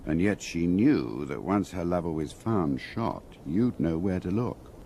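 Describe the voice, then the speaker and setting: An actor playing an urbane older gentleman in a radio drama, musing in a wry, measured way. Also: sits low, animated, slight background noise.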